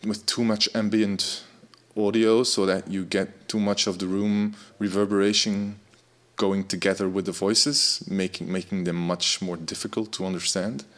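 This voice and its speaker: Very low-voiced Dutch man. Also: level tone, normal speed, a bit bright. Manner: thoughtful, emphatic, many restarts. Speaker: host. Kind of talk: casual video-call chat. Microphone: video call.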